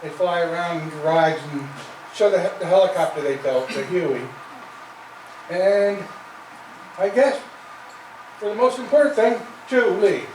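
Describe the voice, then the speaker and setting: Male emcee, quick informal intro, higher voice, far-off echoey room. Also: expressive, a little mellow. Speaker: event emcee. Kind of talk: introducing a speaker. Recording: echoey hall, far from mic, faint noise.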